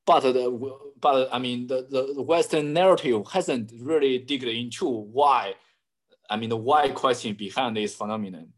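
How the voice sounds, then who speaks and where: A man's voice: medium pitch, normal speed. Hesitant man with frequent restarts. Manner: hesitant, many fillers and restarts. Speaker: interview guest, analyst. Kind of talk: conversational interview answers.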